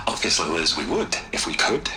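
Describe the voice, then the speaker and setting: A smug tech tycoon, deep-voiced and smoothly evasive. His tone is forceful and bright, with faint ambient noise behind. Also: full character voice.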